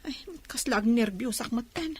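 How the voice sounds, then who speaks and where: A woman's voice: on the high side, normal speed, darkish tone, slight background noise, close to an everyday voice. An actress playing a coy, lovestruck young village woman in an Ilocano comedy radio drama, speaking hesitantly. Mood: nervous.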